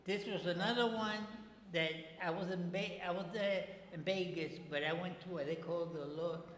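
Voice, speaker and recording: An older man telling personal stories casually as an interview guest, in halting speech with repeated words. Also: high-pitched, steady tone, fairly bright tone. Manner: halting, repeats words, non-standard grammar. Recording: echoey reverberant room with background noise.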